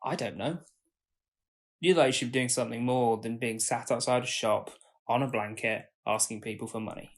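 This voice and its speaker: A man's voice: mid-range voice, steady tone, moderate pace, lightly acted. Casting: actor as kind, socially awkward young man, tentative and earnest, audio drama dialogue. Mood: tentative, curious.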